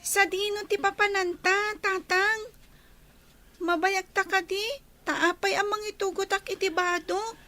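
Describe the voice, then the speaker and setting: A woman's voice: extremely high pitch, steady tone, unhurried, pushed and bright, faint music behind, exaggerated voice. A woman playing an anxious, obedient young daughter with a plaintive manner in an Ilocano radio drama.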